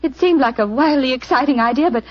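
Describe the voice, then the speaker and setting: High-voiced young lady in old radio drama. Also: fast talker. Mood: pleading. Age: young adult. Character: young, contrite, polite lady schemer. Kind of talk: 1940s radio drama acting. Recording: dull, muffled 1940s radio recording.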